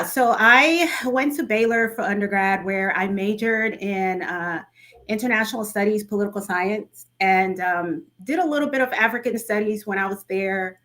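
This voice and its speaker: A woman's voice: mid-range voice, bright, forceful tone. Chatty woman lawyer from Cameroon. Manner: conversational, with many ums and self-corrections. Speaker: lawyer guest. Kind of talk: interview answers. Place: studio.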